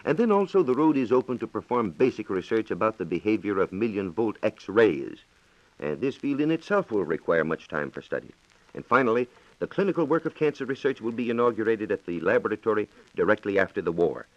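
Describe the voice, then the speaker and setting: A man's voice: on the low side, sing-song, fairly quick. An American man reporting on science in a scripted, formal and precise 1940s radio style, on a dull, echoey old recording.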